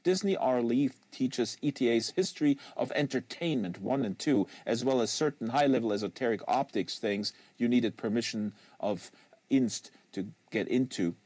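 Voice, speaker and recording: A man narrates literary fiction in a measured, expressive way. His voice sits at an average pitch, recorded close on a studio mic in a very dry room. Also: breathy edge, no echo. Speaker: audiobook reader and livestream host. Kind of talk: live literary novel reading, acted dialogue. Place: small sound-treated studio. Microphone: close studio microphone.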